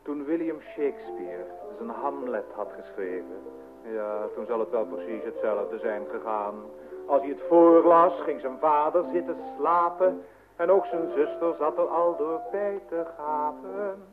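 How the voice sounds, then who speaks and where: Loud music plays behind a narrow, old radio sound. An actor plays a young Dutch would-be writer in a musical radio comedy, his fairly high male voice plaintive, self-pitying and melodramatic as he rhymes. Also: a little slow, lightly acted. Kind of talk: old-fashioned theatrical radio comedy song and monologue.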